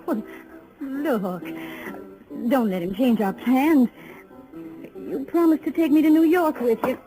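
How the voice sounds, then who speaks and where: An actress playing a scornful young frontier woman, sharp-tongued and folksy, in a 1950s radio western. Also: high-pitched, takes it slowly, soft background music, rough recording. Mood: scornful, pleading.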